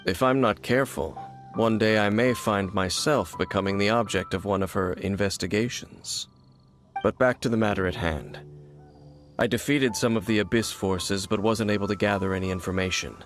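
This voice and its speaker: An actor voicing a composed, secretive scholar who explains things in a measured way, in a video game cutscene. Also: male voice, lowish voice, close to an everyday voice. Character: calm, secretive man, a learned scholar. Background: game music.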